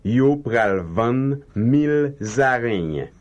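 A man reading slow, carefully enunciated translations in a language drill. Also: medium pitch, animated. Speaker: language teacher.

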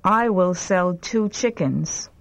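A woman reading short prompt sentences slowly and clearly for a language drill. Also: on the low side. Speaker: language lesson reader. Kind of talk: slow repeat-after-me language drill.

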